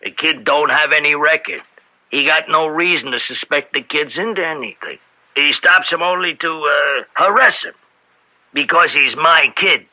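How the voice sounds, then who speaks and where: An actor playing an aggrieved, scheming crime boss in a radio drama, talking in rough streetwise grammar and pressing his questions. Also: fairly high pitch, normal speed, rough recording. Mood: insistent. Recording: bright old-time radio recording.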